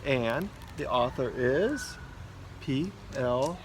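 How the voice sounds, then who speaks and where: A man's voice: mid-range voice, animated, extremely slow. A man, a school principal, reading a children's storybook aloud outdoors in an informal way for young listeners. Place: outdoors in a backyard. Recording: outdoor noise, vehicle and ambient hum. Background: loud outdoor ambience, vehicle noise.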